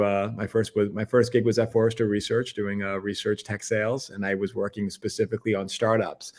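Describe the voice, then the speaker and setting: A man with a Boston accent, a guest telling stories in a laid-back way during a casual interview. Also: fairly low pitch.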